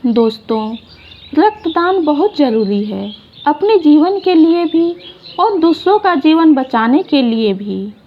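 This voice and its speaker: High, lively-voiced woman telling a story slowly. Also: lo-fi sound. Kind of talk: scripted story narration.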